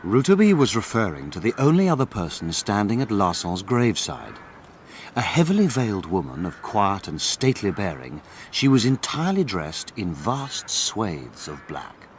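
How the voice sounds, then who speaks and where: An actor playing a proper, dryly disapproving gentleman who narrates a mystery drama in formal, measured phrases. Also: fairly low pitch, very animated, slight background noise. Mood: grave. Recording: music bed behind studio narration.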